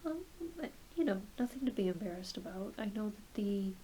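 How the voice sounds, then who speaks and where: Soft-spoken, whispering woman over audible hiss. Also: medium pitch, animated, a little fast, low-quality recording. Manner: gentle, reassuring, hesitant questioning. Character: kindly, attentive doctor examining a patient. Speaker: ASMR roleplayer playing a doctor. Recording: hissy close home recording.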